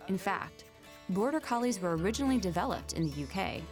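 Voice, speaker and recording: Brief: female travel host voice-over, lively and polished, faint music underneath. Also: mid-range voice, fairly quick. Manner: upbeat, polished narrating. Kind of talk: scripted narration.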